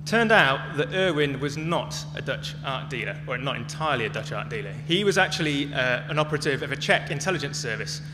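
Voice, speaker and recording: A man giving a prepared talk as a conference speaker, phrasing it in short, measured, emphatic chunks. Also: medium pitch, animated. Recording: long echoey reverb, clearly noisy with hum.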